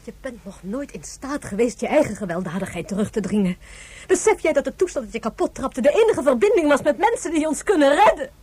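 A woman's voice: high voice, very animated, quick pace, darkish tone, slightly rough, dry recording, heavily acted. An actress playing a tender, reproachful woman stranded in space, in a Dutch science fiction radio drama. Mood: reproachful, agitated.

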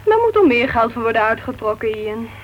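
A blunt, teasing lady novelist with a fairly high voice. She argues her opinions in a radio play, dull and faintly hissy. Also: sing-song, a little fast. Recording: dull, faintly noisy vintage radio recording.

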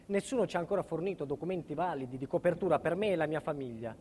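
A man's voice: on the high side, fast, dark tone, a little hiss or hum, close to an everyday voice. A male actor playing a middle-aged family man under state protection, insistent and emphatic, in a dramatic stage monologue. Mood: firm, insistent. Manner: emphatic, insistent, repeats himself.

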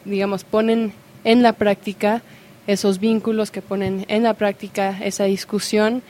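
A woman's voice: medium pitch, flat delivery, normal speed, dark tone, a little hiss or hum. A woman speaking in a radio discussion, hesitant and full of fillers as she thinks aloud.

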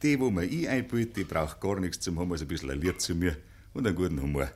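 A man's voice: sits low, fast talker, darkish tone, husky. A man playing an eager, good-humoured suitor in a Bavarian dialect radio drama from the 1950s, coaxing and earnest. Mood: earnest courting.

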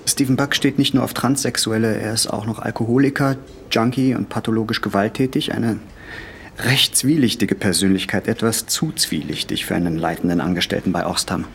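A matter-of-fact investigator in a radio drama. He sounds low and gravelly, dryly factual, with a faint ambient bed behind the voice. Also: fairly even intonation, a little fast, a little hiss or hum.

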